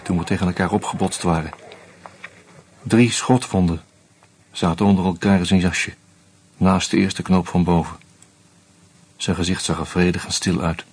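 A male narrator reading a first-person novel aloud in a very husky, breathy voice. His delivery is calm and measured, sitting low in pitch. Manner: calm, measured, subdued storytelling.

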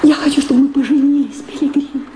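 A woman's voice: high voice, steady tone, fast, noisy background, natural voice. An actress playing a weary woman in love who longs for home, plaintive and earnest, in a theatrical radio drama. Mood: earnest, pleading. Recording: echoey drama recording with music. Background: music.

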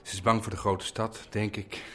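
A man playing a lonely, awkward, downcast fellow in a radio drama, speaking hesitantly and haltingly. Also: fairly low pitch, little pitch movement, a bit bright, slight background noise, close to an everyday voice. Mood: glum. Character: lonely, awkward, downcast man hanging around the red-light district. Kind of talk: naturalistic Dutch radio drama acting.

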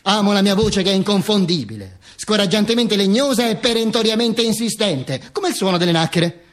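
An actor playing a vain, ranting, self-mocking dead dictator in an emphatic, declamatory theatrical radio monologue. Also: male voice, high voice, expressive, brisk. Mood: vain, boastful. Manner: emphatic, declamatory, with insistent repetitions and interjections. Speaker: stage actor performing own monologue.

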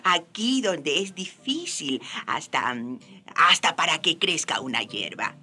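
A woman's voice: on the low side, very animated, slow pace, sharp tone, slightly rough, full character voice. An actress playing a poor, wry, sceptical but hospitable peasant woman in a radio drama, with a hesitant, folksy, teasing manner. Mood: sceptical, plaintive.

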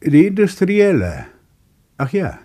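A mellow, swooping, evasive official. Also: male voice, sits high, wildly swooping pitch, slow pace, full character voice. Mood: puzzled. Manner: courteous, hesitant, noncommittal. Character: evasive, smiling Soviet travel official in his thirties. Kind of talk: radio play dialogue.

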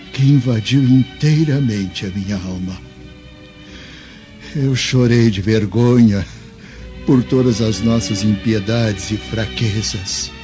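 An actor playing a pious, gentle old Samaritan man in a radio drama, speaking with solemn, reverent emotion. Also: medium pitch, natural voice. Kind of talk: radio drama acting, solemn preaching and prayer. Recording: dull radio-drama recording over music.

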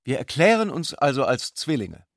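A man's voice: medium pitch, sing-song, normal speed, no room sound, clean studio sound. Eloquent, ironic man, an intellectual brother. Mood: playful irony. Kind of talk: literary radio drama.

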